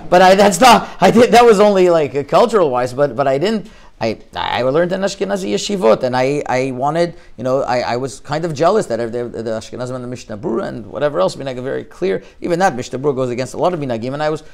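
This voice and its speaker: A middle-aged man from Canada, a rabbi giving an informal lecture, talking haltingly with frequent restarts and Hebrew terms woven into his speech. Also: medium pitch, lively intonation, vocal fry.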